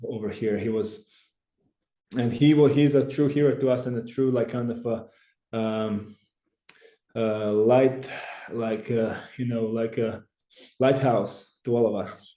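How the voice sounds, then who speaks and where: Earnest Croatian man, mellow and roomy. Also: mid-range voice, steady tone. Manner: earnest, halting, many restarts. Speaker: church branch president, guest. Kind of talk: podcast interview.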